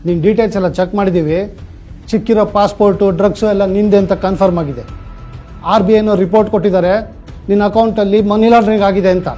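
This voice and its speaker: Man acting as high-pitched bossy scam police officer. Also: fairly even intonation, fast, dark tone, faint music behind, close to an everyday voice. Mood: bossy, threatening. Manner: condescending, authoritative. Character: bossy male fake senior police officer, manipulative scammer. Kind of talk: dramatised awareness skit.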